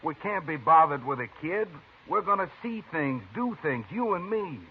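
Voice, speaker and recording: Callous Western scoundrel, lively intonation. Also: male voice, on the high side, moderate pace, slightly rough. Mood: impatient. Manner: curt, insistent. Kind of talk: 1950s radio western acting. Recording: noisy, tinny 1950s radio recording.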